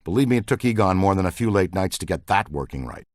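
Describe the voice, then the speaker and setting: An earnest, geeky ghost-hunting scientist for a video game. The pitch moves around in a lively way, eager and good-natured, and the recording is dry and close. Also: male voice, quick pace, no echo. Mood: earnest, explaining. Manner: earnest, excitable, technical. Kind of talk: video game dialogue, battle shouts and banter.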